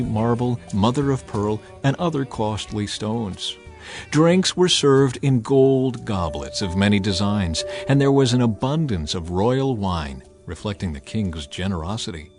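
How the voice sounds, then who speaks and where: A man reading scripture as a dramatic audio narration, in a measured, solemn storytelling manner. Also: medium pitch. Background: background music.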